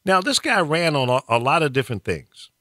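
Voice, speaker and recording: A man in full political rant, his pitch swinging widely as he pushes each point. His tone is bright and forceful, and his blunt delivery trips over repeated words. The sound is dry and close. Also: sing-song, a little fast, no echo. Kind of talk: political rant on talk radio.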